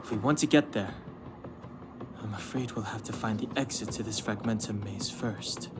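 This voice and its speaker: An actor playing a calm, cautious, analytical young adventurer who speaks in a measured, formal way in a video game. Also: male voice, on the low side, music in the background, close to an everyday voice. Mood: grave, measured. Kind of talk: English game voice acting, cutscene dialogue. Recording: clear ambient noise behind voice.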